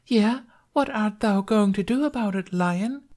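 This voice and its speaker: A man's voice: sits high, measured pace, darkish tone, voice pushed up. A man voicing a smug male rabbit in drag who hams up a damsel's overwrought, archaic lament in a story. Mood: smug teasing.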